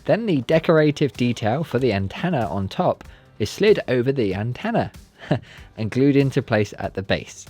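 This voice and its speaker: A man's voice: mid-range voice, big pitch swings, moderate pace, darkish tone, soft background music. A man giving scripted, step-by-step DIY tutorial narration in a careful, politely instructional manner. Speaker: presenter of a DIY build tutorial.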